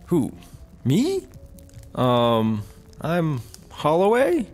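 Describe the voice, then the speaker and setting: A guileless, dim male cop in a comedy audio drama. He draws words out slowly with exaggerated swings in pitch, over a light music bed. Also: huge pitch swings, darkish tone, heavily acted. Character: naive, easygoing, dim male cop sidekick.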